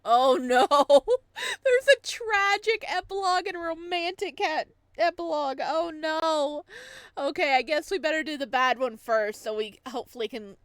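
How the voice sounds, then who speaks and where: A woman hosting a let's play, casually commenting and reacting with expressive, emotional exclamations. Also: high-pitched, moderate pace, no room sound. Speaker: let's-play host reading a visual novel aloud.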